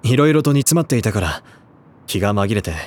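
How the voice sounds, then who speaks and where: An actor playing a serious, reserved high school boy, speaking in a stiff, earnest way in an anime audio drama. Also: lively intonation, fast talker, close to an everyday voice. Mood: reserved, calm. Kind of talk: anime audio drama dialogue and letter reading.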